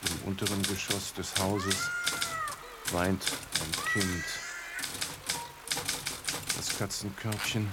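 A man playing a pensive storyteller narrating a radio drama in a reflective, measured way. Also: low voice, little pitch movement. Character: pensive male storyteller musing over his story. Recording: loud typewriter clatter and background noise.

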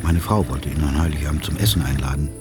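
A sober friend telling his memories in a radio drama. His voice is low and grave, his delivery measured. Music is clearly heard beneath him. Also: steady tone. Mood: somber, tense. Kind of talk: radio drama narration and dialogue.

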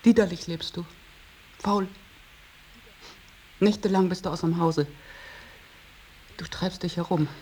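A woman's voice: on the low side, fairly even intonation, slow pace, pauses often, dark tone, slightly rough. An actress playing an anxious, reproachful middle-aged mother in a German radio drama, pleading and scolding. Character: anxious, reproachful mother of a wayward adult son.

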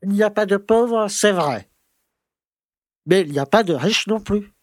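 An actor playing a pompous, grumbling conservative small-town mayor who lectures and scolds in a French audio drama. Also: male voice, high voice, lively intonation, unhurried, a little hiss or hum. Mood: sceptical, pompous.

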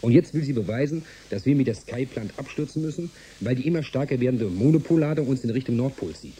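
A man's voice: medium pitch, fast, dark tone, husky. A man playing an offhand, middle-aged engineer and family man in a German science fiction radio drama, talking in a colloquial, flippant way. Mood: flippant, exasperated.

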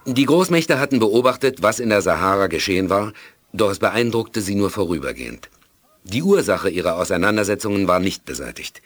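An actor narrating a science-fiction radio play as a serious, measured storyteller. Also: male voice, fairly low pitch, animated, no room sound. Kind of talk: scripted radio drama narration.

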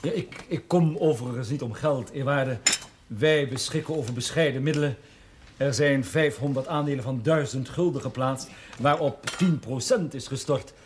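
An actor playing a courteous company administrator in a period television drama, formal, wordy and persuasive. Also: male voice, medium pitch, normal speed, lightly acted. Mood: businesslike. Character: courteous, smooth-talking land company administrator on business. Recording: faintly noisy old television soundtrack.

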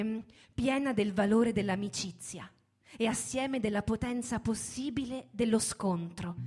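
A woman giving a measured, solemn dramatic reading of literary prose. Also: a little high, a little mellow. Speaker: reader in a radio reading performance. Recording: music behind the voice. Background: instrumental music.

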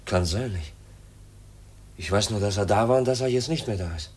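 Husky, insistent young man. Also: fairly low pitch, normal speed. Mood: anxious, suspicious. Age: young adult. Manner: polite but insistent, brooding. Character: earnest, suspicious young man, newly arrived heir. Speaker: radio play actor. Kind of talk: 1950s German radio drama acting.

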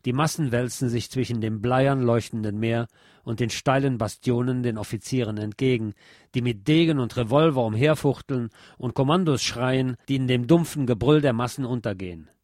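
A man reading a literary text aloud with expressive, emphatic delivery. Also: medium pitch, normal speed, no room sound, studio quality.

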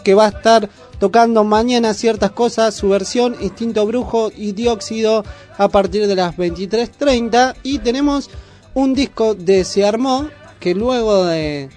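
A man's voice: sits high, darkish tone. A man with an Argentine accent hosting a radio show in casual live chat. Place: radio studio.

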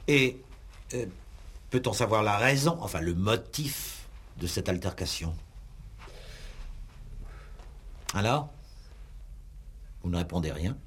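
An actor playing a stern, impatient examining magistrate in a 1960s radio play, curt and condescending. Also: male voice, lowish voice, animated, unhurried, pauses often, a bit bright, husky, heavily acted. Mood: probing. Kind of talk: 1960s radio drama acting.

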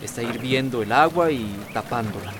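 Actor as an earnest health worker, noisy background. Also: male voice, mid-range voice, animated, moderate pace, close to an everyday voice. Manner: businesslike, respectful. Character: earnest, supportive male health committee worker. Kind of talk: radio soap opera acting.

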